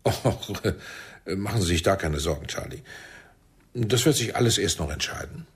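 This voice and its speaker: An actor playing a shrewd, paternal intelligence chief in a German radio play, measured and persuasive, with a husky voice. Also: male voice, low voice, lively intonation, exaggerated voice. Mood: dismissive, amused.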